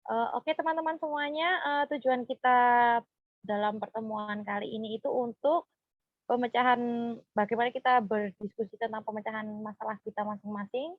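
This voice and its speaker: A woman, leading an informal group session in a halting, hesitant way. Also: fairly high pitch, steady tone, no echo. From Purworejo, Central Java, Indonesia. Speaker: group counseling facilitator. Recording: dull, muffled lo-fi sound.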